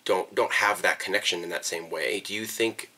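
A man's voice: deep voice, normal speed, pushed and bright. A young man reflecting thoughtfully on where he is from, hesitating and repeating words as he thinks, in a small room. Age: young adult. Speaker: vlogger. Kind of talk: reflective personal documentary talk. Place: small bedroom indoors.

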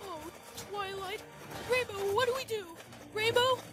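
A woman's voice: extremely high pitch, steady tone, unhurried, music in the background. A woman voicing an anxious little male dragon in a fan cartoon drama, plaintive, childlike and fretful. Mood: worried. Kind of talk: fan animated audio drama acting.

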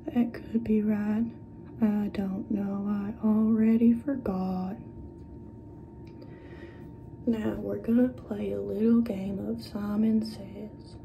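A woman doing an ASMR roleplay as a clinical examiner, speaking softly, often in whispers, and giving short, playful instructions. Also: medium pitch, level tone, slow pace, pauses often. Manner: soft-spoken, often dropping into whispers. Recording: dull lo-fi audio with clear background noise.

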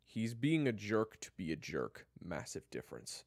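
A man voicing a hot-headed, sarcastic schoolboy with a forceful, confrontational manner in an audiobook-style story. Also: lowish voice, big pitch swings, normal speed, vocal fry, dry recording, clean studio sound, voice pushed up, exaggerated voice. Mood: irritated, defensive.

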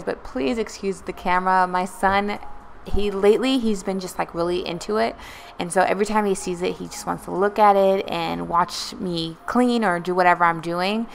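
A woman's voice: fairly low pitch, gravelly, a little hiss or hum. A young woman vlogger talking casually and encouragingly in a voice-over about cleaning her home, with many fillers. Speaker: lifestyle vlogger.

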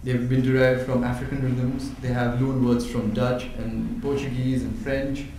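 A man's voice: medium pitch, moderate pace. Indian-born man, panel author, eager and stop-start; roomy hall with light hiss. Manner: lively, restarting, enthusiastic. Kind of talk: panel discussion. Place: event hall. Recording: echoey hall with faint noise.

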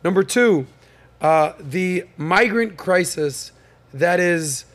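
A man's voice: sits high, expressive, slow pace. A man hosting a podcast panel, upbeat and joking, with plenty of fillers and restarts. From United States. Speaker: podcast host. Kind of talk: casual panel talk show hosting.

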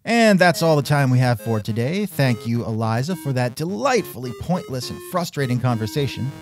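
A man's voice: mid-range voice, very animated, moderate pace, soft background music. A man hosting a scripted comedic interview show, sardonic and increasingly exasperated. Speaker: podcast host.

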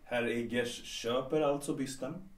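An actor playing a dutiful young art student and son in an audio drama. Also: male voice, medium pitch, fairly even intonation, recorded from a distance, lightly acted. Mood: businesslike. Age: young adult. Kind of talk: Swedish radio drama dialogue. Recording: echoey room, off the mic.

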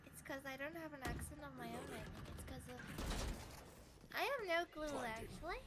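A woman whose first language is Dutch, chatting in a rambling, soft-spoken way during a gaming session. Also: sits high, expressive, a little fast, loud music behind the voice. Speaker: gaming teammate. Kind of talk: casual gaming chat. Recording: loud background noise.

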